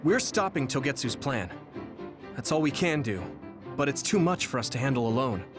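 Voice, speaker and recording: A man's voice: mid-range voice, expressive, moderate pace, music in the background, lightly acted. A man voicing an earnest, determined young hero in an English video game dub, speaking resolutely.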